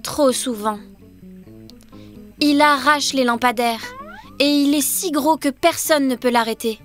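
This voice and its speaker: A sweet welcoming mermaid girl, pretty high voice, cartoon, soft music under it. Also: unhurried. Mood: worried. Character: Sirena, friendly young mermaid girl from undersea city. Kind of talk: children's cartoon dubbing. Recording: music behind the voice.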